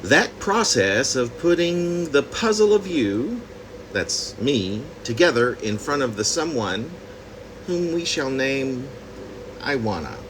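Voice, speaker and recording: A man reading his own poem reflectively, in deliberate, halting phrases with pauses. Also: mid-range voice, very animated, background noise. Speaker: poet reading own work.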